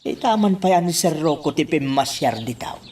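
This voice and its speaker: A man's voice: fairly high pitch, expressive, moderate pace, a little mellow, slight background noise, lightly acted. A man playing an earnest, deferential plantation worker in an Ilocano radio drama. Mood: puzzled musing.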